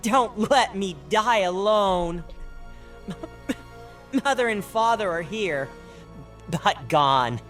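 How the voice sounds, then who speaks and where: A man voicing a terrified, dying plague victim who pleads haltingly in an audio drama. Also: high voice, expressive, a bit bright, soft background music, voice pushed up, heavily acted. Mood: fearful pleading. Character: terrified dying plague-stricken neighbor pleading not to be left.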